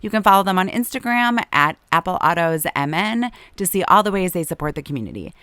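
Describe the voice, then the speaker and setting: A woman, hosting a podcast. She is hoarse from a long illness, and her deep, raspy voice has a lot of vocal fry. She chats easily and laughs often. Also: expressive, quick pace, bright, forceful tone, dry recording. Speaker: podcast host. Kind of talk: casual chat and read ads.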